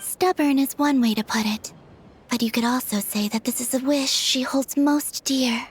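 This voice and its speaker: A woman playing a calm, supportive, graceful young woman in a video game, speaking in a gentle, poetic way. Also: high voice, moderate pace, breathy edge. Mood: reassuring.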